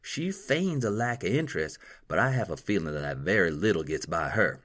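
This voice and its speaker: A man voicing a sharp-tongued young female nurse with a dry, sarcastic edge. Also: low voice, very animated, normal speed, exaggerated voice.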